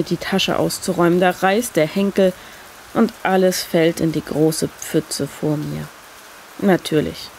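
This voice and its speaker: A woman's voice: on the low side, vocal fry, rough recording. Woman narrating a story over rain. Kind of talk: scripted first-person short story narration. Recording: steady rain noise behind voice. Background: rain sound effect.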